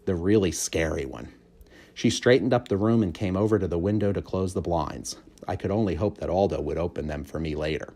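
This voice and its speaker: A man reading a children's book aloud as an expressive narrator. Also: sits low, brisk. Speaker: storybook reader.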